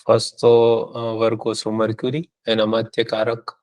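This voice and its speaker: Hesitant man with a lowish voice. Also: completely flat delivery, moderate pace, a little mellow. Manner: hesitant, tentative. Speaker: student. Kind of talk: answering in an online class.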